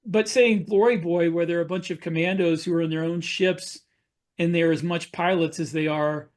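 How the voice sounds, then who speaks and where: A man's voice: on the high side, fairly even intonation, moderate pace. A man talking casually as an author on a podcast panel, explaining things and jumping in readily.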